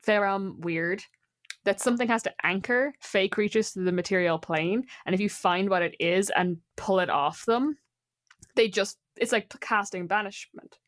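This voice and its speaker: A woman's voice: mid-range voice, moderate pace, a bit bright. A woman with an Irish accent chatting casually, thinking aloud in a rambling way. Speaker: player and co-host on a show. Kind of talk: casual chat.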